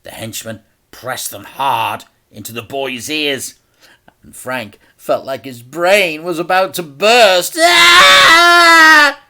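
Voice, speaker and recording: A man reading a children's story aloud as an expressive narrator, with theatrical, dramatic delivery. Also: medium pitch, takes it slowly.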